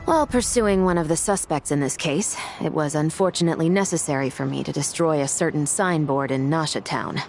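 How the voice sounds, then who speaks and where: A firm, low-voiced officer. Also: female voice, animated, vocal fry. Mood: stern. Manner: formal, firm and measured. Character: composed female police officer, adult. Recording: game dialogue over loud music.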